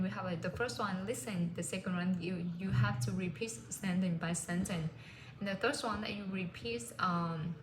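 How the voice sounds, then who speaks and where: Chatty woman in an echoey room. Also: mid-range voice. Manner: rambling, many fillers and "like". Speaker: language learner vlogger. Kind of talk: casual vlog chat. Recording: echoey room with clear background noise.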